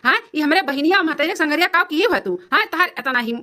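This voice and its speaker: A woman's voice: sits high, animated, fast, pushed and bright. A woman voicing a hot-tempered, domineering cartoon husband with an Awadhi accent, in a blustering manner. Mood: angry scolding. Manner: scolding, blustering. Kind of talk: amateur cartoon dialogue.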